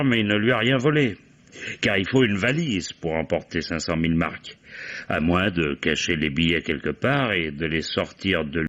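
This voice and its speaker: An actor playing a shrewd, unhurried police commissioner in a French radio mystery, courteous and probing. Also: male voice, deep voice, a bit bright. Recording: dull old radio recording.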